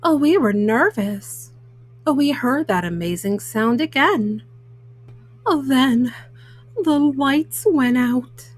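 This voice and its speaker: Woman, higher-pitched voice, slow pace, children's read-aloud, halting phrasing, frequent hesitations. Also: animated. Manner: halting, word-by-word reading with frequent hesitant fillers. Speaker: storyteller, host of a children's read-aloud show. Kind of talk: reading a children's picture book aloud.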